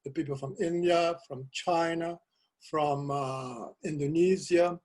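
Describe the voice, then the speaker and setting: An older man, a Dutch native speaker from South America, talking as a guest poet over a video call from a cluttered home office, soft-spoken and hesitant with restarts. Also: fairly high pitch, steady tone, unhurried. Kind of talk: casual video call interview. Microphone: video call webcam.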